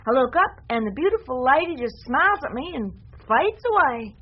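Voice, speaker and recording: A woman's voice: sits high, very animated, brisk, breathy edge, highs cut off, heavily acted. An actress playing a trusting, stubborn, devout young woman in a radio drama, speaking in a plain, folksy, earnest way. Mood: wondering. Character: trusting, stubborn, devout one-legged young female dreamer.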